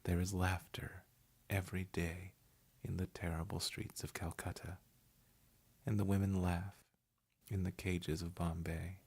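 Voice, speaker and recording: A man voice-over artist giving a measured, unhurried, hushed reading of essay and poetry. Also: low-pitched, flat delivery, vocal fry, breathy edge. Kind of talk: scripted narration of essay and poetry.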